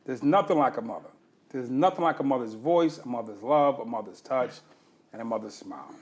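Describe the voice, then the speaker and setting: A man's voice: medium pitch, brisk. A man hosting an interview, asking probing questions and echoing the guest's answers back. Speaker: interview host. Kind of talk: conversational interview.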